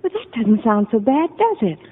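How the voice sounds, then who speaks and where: An actress playing a confused, fragile married psychiatric patient, hesitant and bewildered, in 1950 radio drama style. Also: female voice, on the high side, sing-song, normal speed, breathy edge. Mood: childlike, anxious. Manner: hesitant, bewildered, childlike questioning. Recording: muffled narrow-band 1950 radio broadcast.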